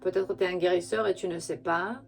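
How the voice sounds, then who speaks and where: Gravelly woman, non-native French speaker. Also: on the low side, steady tone. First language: not French. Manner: halting, searching for words. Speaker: spiritual tarot reader and channel host. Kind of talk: intuitive tarot reading addressed to the listener.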